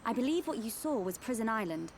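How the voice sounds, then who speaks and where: A woman playing a courteous, poised young noblewoman in a video game, speaking in a formal, measured way. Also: on the high side, big pitch swings, slight background noise. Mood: earnest, grave. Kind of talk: video game cutscene acting.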